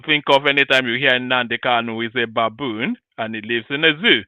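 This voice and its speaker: A man's voice: mid-range voice, a little fast, clear, resonant tone, no echo, narrow, tinny audio. A man hosting an opinionated commentary show, talking emphatically with rhetorical questions and repeated phrases.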